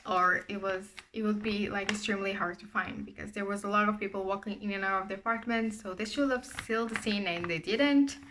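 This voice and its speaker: Bright-voiced Portuguese-accented young woman. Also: medium pitch, a little fast, few pauses, slight background noise. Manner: conversational, frequent fillers and restarts. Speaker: true crime YouTuber. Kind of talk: casual true crime narration.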